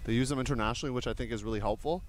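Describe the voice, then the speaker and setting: A man's voice: medium pitch, very fast, music in the background. A man in his thirties giving live play-by-play sports commentary with casual banter. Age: 30s.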